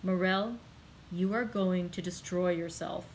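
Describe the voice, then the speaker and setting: Woman voicing the Count of Monte Cristo, calm and commanding. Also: on the low side, a little hiss or hum. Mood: entreating. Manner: slow, quiet and measured. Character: calm, commanding older nobleman, gently stern. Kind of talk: audiobook character reading.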